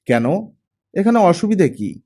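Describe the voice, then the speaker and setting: A man voicing a proud, irritable older retired police chief and father in an audiobook drama, gruff and authoritative. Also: sits high, sing-song, measured pace, warm tone, voice pushed up, full character voice. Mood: demanding.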